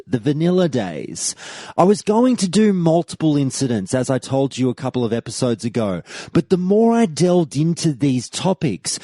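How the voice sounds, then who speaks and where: A man's voice: on the high side, mellow, no echo, clean studio sound. A man hosting a history podcast, reading a scripted, earnest account and quoting old forum posts. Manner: earnest storyteller, reads quoted posts aloud. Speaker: podcast host.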